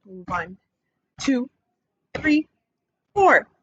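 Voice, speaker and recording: High, very animated woman reading to children. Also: drawn-out words, slightly rough, muffled recording. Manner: animated, questioning, explains simply, exclaims in sympathy. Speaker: teacher reading a picture book aloud. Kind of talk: interactive read-aloud lesson for young children.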